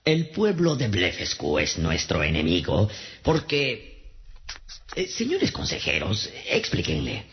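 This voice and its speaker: A man's voice: sits low, huge pitch swings, slow, gravelly, heavily acted. An actor playing a pompous, forgetful emperor of a tiny kingdom in a Spanish-language radio drama, ceremonious and prone to lose his thread. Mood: flustered. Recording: dull sound with faint music behind.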